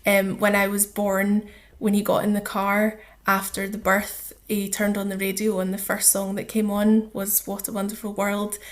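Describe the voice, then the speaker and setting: A woman's voice: medium pitch, monotone, moderate pace. A woman speaking as a charity officer and interview guest, explaining things in an earnest, conversational way.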